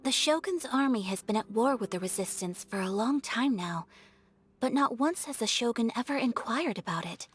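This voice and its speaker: An actress playing a calm, thoughtful young noblewoman who speaks in a measured, formal way. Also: on the high side, soft background music, close to an everyday voice. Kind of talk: game cutscene dialogue.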